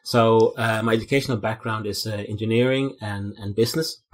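A middle-aged man with a Danish accent, a guest on a video call, speaking in a measured, thoughtful way with some hesitations. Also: lowish voice, steady tone. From Denmark. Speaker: guest, procurement consultant. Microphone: headset on a video call.

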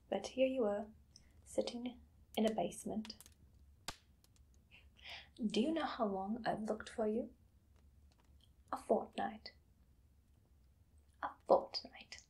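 A woman performing an ASMR roleplay as a possessive, doting vampire mistress, with breathy, theatrical, often whispered speech that coaxes and menaces. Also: on the high side, warm tone. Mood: sly, delighted. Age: young adult. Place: small dim indoor room.

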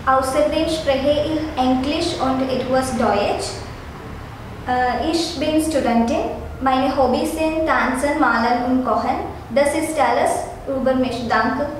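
An eighteen-year-old woman from Kerala with a Malayalam accent, a student reciting a rehearsed introduction slowly and carefully. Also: sits high. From Kerala, India. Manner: slow, careful, rehearsed phrasing. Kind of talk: reciting memorized self-introduction. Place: echoey classroom. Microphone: camera across the desk. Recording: very echoey room with background hum.

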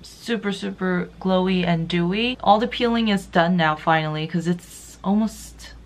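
A woman's voice: on the low side, a little slow. Chatty young woman vlogging to camera. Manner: chatty, upbeat, says "like" often. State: voice deeper than usual after just waking. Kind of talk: casual vlog talk to camera. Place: small apartment room, indoors. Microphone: handheld camera at arm's length.

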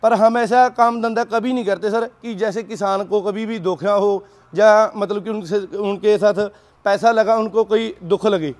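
Guy w a pretty high voice pitching dairy cows, says sir all the time, informal sales talk. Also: steady tone, measured pace. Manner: repeatedly addresses the listener as sir. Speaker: dairy cattle seller. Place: dairy farm.

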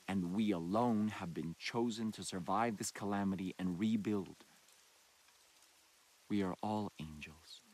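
A man playing a solemn, messianic doomsday cult leader in a video game, preaching in grave, deliberate, rhetorical monologues. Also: fairly low pitch, husky, heavily acted. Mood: fervent, urgent. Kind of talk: dramatic sermon and broadcast monologue.

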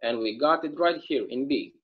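A plainspoken small-town man proud of his hometown, read by a man on a video call. His voice is husky and his manner is folksy. The audio sounds muffled and lo-fi. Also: medium pitch, moderate pace, close to an everyday voice.

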